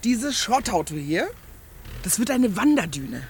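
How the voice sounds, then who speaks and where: A woman's voice: mid-range voice, very animated, a little slow, darkish tone, husky, heavily acted. An actress playing a breezy village woman in a naturalistic German radio drama, chirpy and colloquial. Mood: cheerful, explaining.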